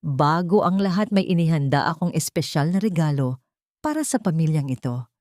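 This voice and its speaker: A woman's voice: low-pitched, expressive, moderate pace, darkish tone, dry recording. A woman plays a betrayed wife out for revenge in an audio drama. She is cold, commanding and controlled, in a close, dry recording. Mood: cold defiance. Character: wronged wife, coldly vengeful and commanding. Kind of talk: audio story character acting.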